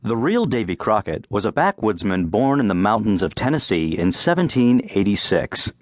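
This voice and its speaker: A man reading scripted folk-tale narration as an audiobook narrator, with clear phrasing and a dramatic storyteller's delivery. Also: fairly low pitch, sing-song, normal speed, dry recording, studio quality.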